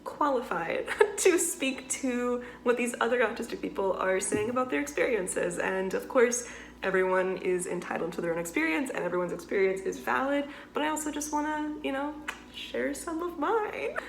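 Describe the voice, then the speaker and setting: A woman in her twenties hosting a reaction video, chatty, laughing often and throwing in quick comments. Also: fairly high pitch, animated, creaky, slight background noise, poor audio.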